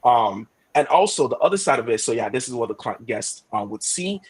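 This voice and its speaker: Fast-talking man with a husky voice. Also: medium pitch, big pitch swings. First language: Yoruba. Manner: fast, frequent "right?" checks, thinking aloud with "um". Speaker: product presenter. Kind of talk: product demo webinar talk.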